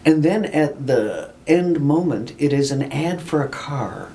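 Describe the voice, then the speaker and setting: A woman hosting a casual radio interview, chatty and laughing easily, her voice unusually low for a woman. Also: lively intonation, unhurried, dark tone, a little hiss or hum. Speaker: radio show host.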